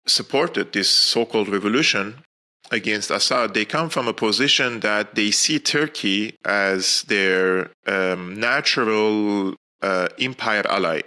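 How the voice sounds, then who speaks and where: A man's voice: fairly low pitch, sharp tone. A man born and raised in Syria, a podcast guest giving long, earnest answers with frequent restarts. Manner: earnest, explanatory, many fillers and restarts. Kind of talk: interview answers.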